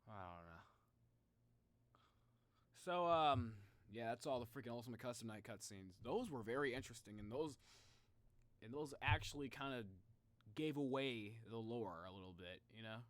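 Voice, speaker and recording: A man's voice: mid-range voice, animated, normal speed, long pauses. A young man giving casual livestream reaction commentary, rambling and thinking aloud as he speculates. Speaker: live streamer reacting to game videos.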